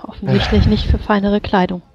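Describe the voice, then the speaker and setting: A woman speaks in character during a tabletop game. Her voice is mid-pitched and comes through slightly muffled and lo-fi. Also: level tone, a little fast, a little mellow, slightly rough, faint music behind. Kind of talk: improvised in-character game talk.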